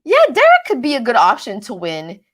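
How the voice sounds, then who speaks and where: Higher-pitched woman, casual rambling livestreamer. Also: wildly swooping pitch, fairly quick, fairly bright tone. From Canada. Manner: rambling, self-deprecating, stumbles over words; playfully switches between accents. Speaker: livestream host of a reality TV recap channel. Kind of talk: casual live chat, answering viewer comments.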